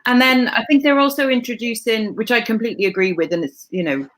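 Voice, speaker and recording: A woman's voice: a little high, quick pace, a bit bright, slight background noise. A Welsh woman hosting a casual live stream, chatting in a rambling way with lots of fillers and restarts. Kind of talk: casual chat.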